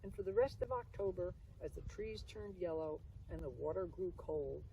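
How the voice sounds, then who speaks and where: A woman's voice: on the low side, normal speed, gravelly, warm tone, dull audio. Distant-miked woman reading a children's storybook. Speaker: author reading own children's book aloud. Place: outdoors beside a pond. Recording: distant mic, faint outdoor noise.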